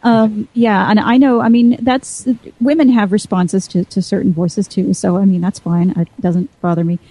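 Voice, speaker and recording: Woman, mellow voice, hesitant, many fillers, casual panel talk, dry sound. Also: medium pitch, steady tone, moderate pace, dry recording, poor audio. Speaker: podcast panelist.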